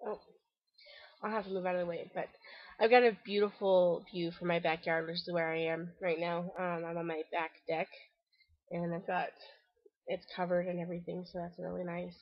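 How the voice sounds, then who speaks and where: A woman's voice: lowish voice, flat delivery, moderate pace, no echo. Casual vlogger, woman, congested and stuffy, chatty, dry and dull home-camera sound. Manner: chatty and rambling, frequent ums and restarts. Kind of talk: casual video diary update. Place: covered back deck outdoors.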